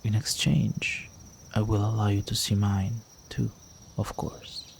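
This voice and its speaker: Low, slow, seductive vampire played by a man. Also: level tone, slight background noise. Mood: tender, admiring. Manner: courtly, flirtatious, teasing, breathy with drops into whisper. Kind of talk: intimate scripted roleplay audio drama.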